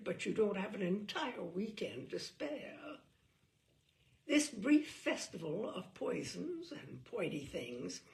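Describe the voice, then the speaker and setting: A woman's voice: mid-range voice, gravelly, low-quality recording. A woman giving an arch, theatrical, mock-serious reading of a humorous book. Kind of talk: theatrical reading aloud of comic prose.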